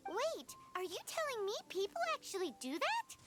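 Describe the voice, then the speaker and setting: A woman voicing a tiny, excitable floating fairy companion who chatters and exclaims in a video game. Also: very high voice, moderate pace, over loud music, heavily acted. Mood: curious, surprised. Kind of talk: video game character voice acting.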